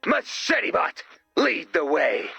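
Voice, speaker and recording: Excitable goofy guy, bright strained voice. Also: high-pitched, slow, gravelly, breathy edge, no echo, faint music behind, full character voice. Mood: dramatic. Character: excitable, self-justifying goofy man, eager amateur slasher. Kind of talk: scripted video game voice acting.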